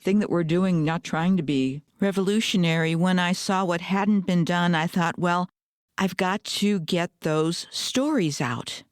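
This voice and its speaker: A man hosting a podcast interview over a video call, chatting eagerly in quick bursts. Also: high-pitched, smooth, dry recording, studio quality. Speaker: podcast host. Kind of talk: casual interview chat.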